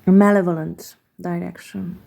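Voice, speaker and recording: Hungarian-accented woman reading tarot cards, hesitant and unhurried, dark gravelly voice. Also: on the low side, level tone. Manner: hesitant, searching for words with many pauses. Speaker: tarot card reader. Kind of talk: slow, reflective card reading.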